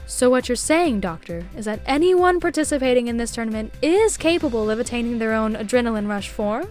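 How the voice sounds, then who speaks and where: A polished female news anchor played by a woman in an animated story. She reads scripted reports in a high voice with formal, measured delivery, over music. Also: natural voice. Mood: formal, composed.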